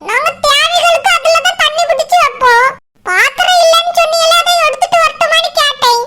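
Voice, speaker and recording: Boastful, insistent cartoon little girl, Kanyakumari Tamil accent, extremely high processed voice, clear background noise. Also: fairly quick. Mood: indignant. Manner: eager, insistent. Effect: pitched-up cartoon voice.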